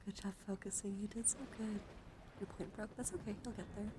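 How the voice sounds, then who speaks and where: A woman livestreaming ASMR in a soothing, gently encouraging way, soft-spoken and often slipping into whispers, close to the microphone. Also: mid-range voice, fairly even intonation, fairly quick. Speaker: livestreamer hosting an ASMR card-showing stream. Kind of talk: ASMR, casual livestream chat. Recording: clearly audible background noise.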